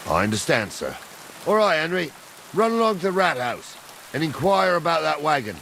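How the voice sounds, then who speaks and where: An actor playing a weary, gruff ageing medieval soldier with a gravelly voice, grumbling but deferential, in a video game. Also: male voice, fairly high pitch, very animated, normal speed, fairly bright tone, slight background noise, heavily acted, poor audio. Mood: dutiful. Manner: plain-spoken, deferential grumbling.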